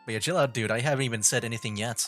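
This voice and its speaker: A woman's voice: very deep voice, rapid-fire, soft background music. A woman streaming a game with casual, wry, slangy reactions in a laid-back manner.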